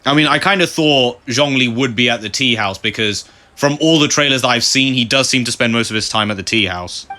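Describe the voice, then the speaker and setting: A man's voice: medium pitch, fairly quick. A man giving casual playthrough commentary as a gaming video host, informal and self-deprecating. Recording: voice-over with loud game music behind.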